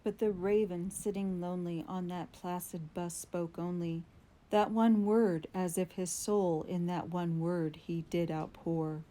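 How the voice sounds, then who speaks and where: A woman giving a dramatic, deliberate recitation of gothic poetry, with drawn-out phrasing and strong emphasis. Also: lowish voice, flat delivery, warm tone. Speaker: poetry reader.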